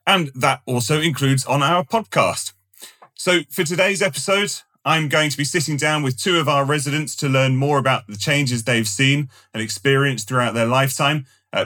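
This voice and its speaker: A man hosting a podcast, speaking in a measured, polite way with the odd uh. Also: mid-range voice, sharp tone. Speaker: podcast host. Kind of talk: podcast hosting, scripted intro then casual chat.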